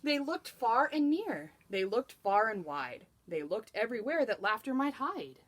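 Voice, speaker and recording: Female teacher narrator: children's storybook read-aloud, rhythmic, expressive, dramatic emphasis. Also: a little high, a little slow.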